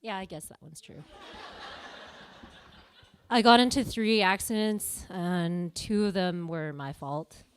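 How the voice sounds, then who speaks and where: A woman's voice: on the low side, slow, long pauses, clean tone. A young Canadian-born woman performing confessional stand-up comedy at a live venue, self-deprecating and playful. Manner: self-deprecating, playful, with sudden loud bursts.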